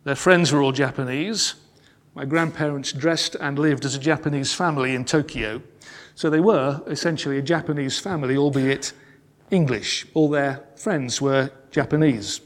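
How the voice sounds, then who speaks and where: A man telling a family wartime story in a measured, solemn sermon style. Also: mid-range voice. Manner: measured and solemn, slowing on key phrases. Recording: long reverb in a large hall.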